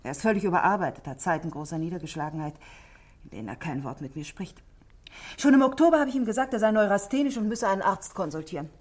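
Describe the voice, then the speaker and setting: A woman's voice: fairly low pitch, expressive, quick pace, darkish tone. An actress in a 1960s German radio play, playing a composed, middle-aged married woman who speaks politely and articulately, confiding her worries.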